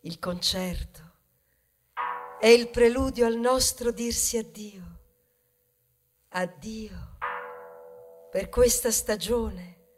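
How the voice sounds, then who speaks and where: Grieving mama corn cob, high voice, slow dramatic stage ballad, music behind. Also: female voice. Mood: tender farewell. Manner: slow dramatic delivery, swinging from hushed to impassioned. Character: tender, grieving mother corn cob mourning her changed children. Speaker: stage actress. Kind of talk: theatrical monologue, poetic stage ballad.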